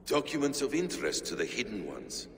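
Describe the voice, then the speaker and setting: A man playing a grave, watchful middle-aged assassin in a video game, with a measured, formal, brooding manner. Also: medium pitch, wildly swooping pitch, creaky, a little hiss or hum. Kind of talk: dramatic video game cutscene acting. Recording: reverberant hall with music behind.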